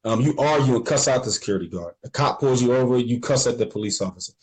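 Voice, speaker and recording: A man conducting a conversational interview, asking measured, reflective questions and agreeing often. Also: mid-range voice, lively intonation, a little mellow, low-quality recording.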